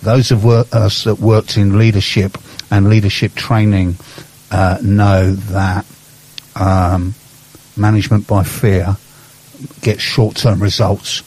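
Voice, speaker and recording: Man, radio co-host, low husky voice, relaxed and hesitant, studio mic. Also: slow pace, rough recording. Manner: relaxed, hesitant with many fillers. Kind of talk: casual radio chat.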